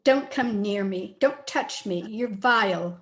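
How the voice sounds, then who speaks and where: An actress playing a wounded, bitter young daughter in an old-time radio melodrama, dramatic in manner. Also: female voice, medium pitch, takes it slowly, a bit bright, slight background noise. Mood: bitter, anguished. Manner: accusing, dramatic.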